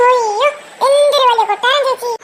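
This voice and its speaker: An excitable little cartoon sister with an extremely high, childlike voice. She chatters quickly and exclaims with naive curiosity. The home-made recording has clear background noise. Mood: awed. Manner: exclaiming, wide-eyed, chatty. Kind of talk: amateur cartoon dubbing.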